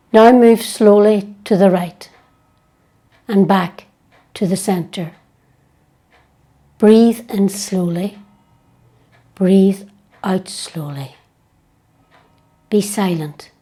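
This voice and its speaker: Slow, mellow woman leading meditation. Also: medium pitch. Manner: gentle, unhurried, hushed delivery with long pauses. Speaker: guided meditation leader. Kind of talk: slow guided prayer meditation.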